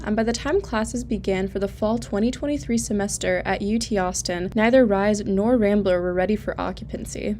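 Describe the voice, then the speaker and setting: A woman's voice: medium pitch, dark tone, slight background noise. A woman reporting in scripted news narration for student television.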